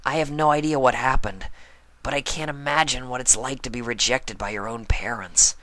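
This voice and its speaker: A man's voice: medium pitch, steady tone, normal speed, fairly bright tone, no echo, lightly acted, rough recording. A man voicing a brash, confident young female pegasus athlete in a cartoon fan story, talking casually and directly. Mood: frank, concerned.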